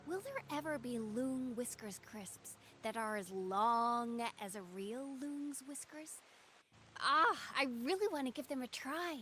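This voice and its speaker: A woman voicing an earnest young female swordswoman in a game, soft-spoken and sincere. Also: high-pitched, expressive, a little slow. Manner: earnest and soft-spoken, proud battle cries. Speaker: game character. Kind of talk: game character voice lines.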